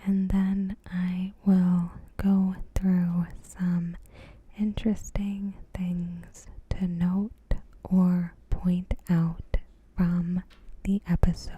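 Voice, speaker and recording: Very slow, breathy whispering woman. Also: on the low side, flat delivery. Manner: soft-spoken, slow, measured, pausing mid-sentence. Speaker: ASMR video creator. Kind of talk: ASMR, reading a TV episode recap.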